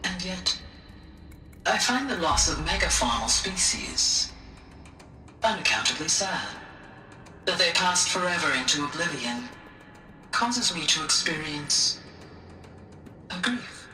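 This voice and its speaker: A woman playing a gentle, formal, earnest artificial intelligence in a video game, speaking with measured, precise diction. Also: fairly low pitch, big pitch swings. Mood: sorrowful. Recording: roomy, distant sound with music behind.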